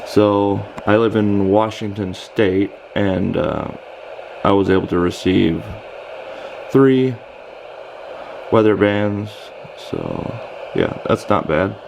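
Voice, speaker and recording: A man's voice: lowish voice, sing-song, slow, gravelly. An American man giving a casual product demonstration in a hesitant, understated, matter-of-fact way. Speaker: product reviewer. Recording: faint background noise.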